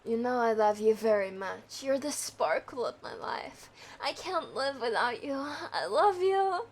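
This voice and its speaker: A woman reading the part of a young woman torn between two men, in a plain, flat way as part of an amateur script read-through. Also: a little high, a little slow. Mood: sweet.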